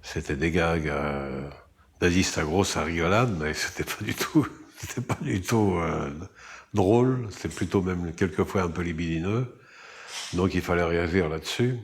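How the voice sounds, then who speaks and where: A man being interviewed, recalling past work in a hesitant way, searching for words. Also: sits low. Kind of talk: recollections in an interview.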